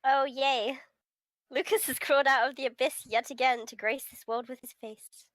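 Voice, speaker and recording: A woman's voice: sits high, steady tone, moderate pace, a bit bright, dry recording, voice pushed up. A woman reading the part of a beleaguered, sarcastic teenage schoolboy in a visual novel, in a grumbling manner. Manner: grumbling, sardonic. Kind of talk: visual novel read-aloud.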